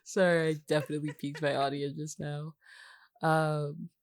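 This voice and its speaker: A woman's voice: low voice, little pitch movement, moderate pace, no room sound, clean studio sound. A woman co-hosting a casual podcast, bantering in a teasing, dryly complaining way. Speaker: podcast co-host and guest.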